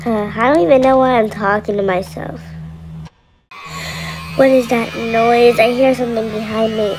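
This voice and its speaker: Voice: medium pitch, steady tone, normal speed, background noise, natural voice. A performer voicing a scared young boy trapped in nightmares, talking to himself in casual slang in an amateur horror roleplay. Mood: uneasy.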